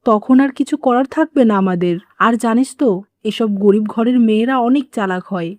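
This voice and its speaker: A woman's voice: fairly high pitch, a little fast, a little mellow, dry recording, clean studio sound, natural voice. Woman acting a scornful, greedy cartoon mother-in-law. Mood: indignant, goading. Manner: scolding, contemptuous, pushy. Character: greedy, scornful middle-aged mother-in-law, scheming and domineering. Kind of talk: moral-story cartoon dubbing.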